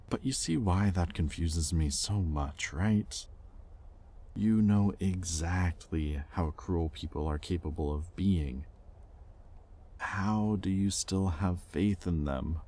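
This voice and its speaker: A man playing a possessive, conflicted demon in an intimate audio roleplay, with halting, sardonic delivery and secret tenderness. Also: sits low, dark tone. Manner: intimate, halting, sardonic, with sighs and muttered curses. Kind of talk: dramatic audio roleplay addressed to the listener.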